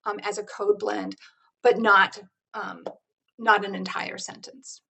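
Gravelly-voiced woman explaining research. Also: medium pitch, level tone, takes it slowly, pushed and bright. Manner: thoughtful, explanatory, with fillers and restarts. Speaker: researcher guest.

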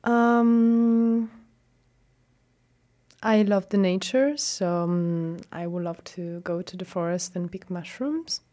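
A young woman with an Italian accent, Italian her first language, speaking as a guest in a casual interview, reflective and hesitant with many ums and soft laughs. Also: mid-range voice, fairly even intonation, slow. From Italy.

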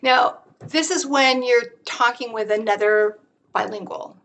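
A woman's voice: a little high, a little slow, a bit bright, a little hiss or hum. A woman researcher explaining her field in an interview, thoughtful, with many fillers and restarts. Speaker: researcher guest.

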